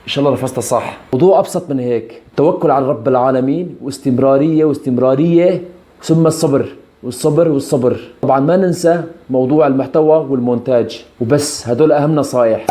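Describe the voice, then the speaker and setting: A man's voice: mid-range voice, fast, mellow. A man in his twenties from Syria with a Syrian Arabic accent, talking casually to followers as a vlogger. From Aleppo, Syria. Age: 20s. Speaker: YouTube content creator. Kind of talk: casual vlog answering follower questions.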